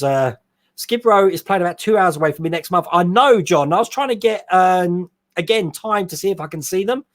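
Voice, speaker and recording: A man hosting a casual live stream from his home hobby room, reading out viewer comments in a chatty, rambling way with quick laughs. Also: high-pitched. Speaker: host.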